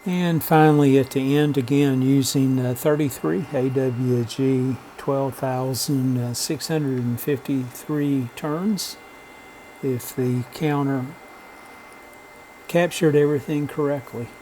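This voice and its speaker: A man narrating a vintage radio repair as a relaxed how-to voice-over, matter-of-fact and unhurried, with frequent "uh" pauses. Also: medium pitch, a little hiss or hum, rough recording. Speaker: radio restoration hobbyist.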